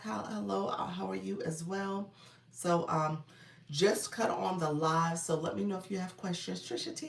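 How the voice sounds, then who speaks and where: A woman's voice: lowish voice, vocal fry, recorded from a distance, slight background noise. A middle-aged woman with a congested voice, chatting casually on a live stream, greeting viewers by name in an upbeat way. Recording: roomy sound, from off the mic.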